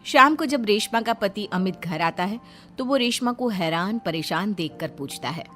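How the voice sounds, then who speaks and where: A woman's voice: mid-range voice, animated, normal speed, pushed and bright. A woman narrating a cartoon story from a script as the storyteller. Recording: music behind the voice.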